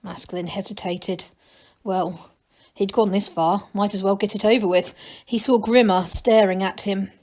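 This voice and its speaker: A woman's voice: mid-range voice, fairly even intonation, moderate pace, darkish tone. A woman reading a children's story aloud as a teacher, narrating expressively.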